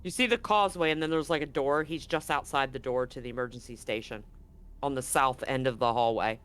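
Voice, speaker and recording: A man's voice: medium pitch, a little fast, a bit bright, clean tone. A man speaking casually and with some swearing as game master of a tabletop role-playing game. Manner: casual, profane.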